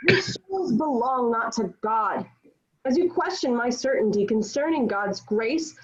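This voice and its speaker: Woman playing proud sharp-tongued young heroine, high-pitched, declamatory, defiant, dramatic table read, muffled recording. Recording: dull, lo-fi video call audio.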